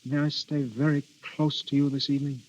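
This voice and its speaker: An actor playing an anxious, lovesick young suitor, earnest and pleading, in a 1930s radio drama. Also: male voice, fairly high pitch, flat delivery, brisk, a little mellow, lightly acted. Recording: muffled vintage 1930s radio recording.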